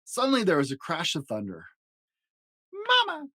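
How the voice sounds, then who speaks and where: A man reading a children's picture book aloud as a storyteller, with an expressive, dramatic delivery. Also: mid-range voice, normal speed, dry recording, studio quality.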